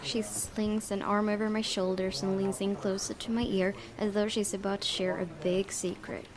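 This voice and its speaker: A woman with a mid-pitched voice reads story narration aloud as a let's player. She drops in casual asides and sometimes trips on a word before correcting it, with clear background voices behind her. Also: level tone, moderate pace, a crowd in the background. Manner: stumbles over words and corrects herself.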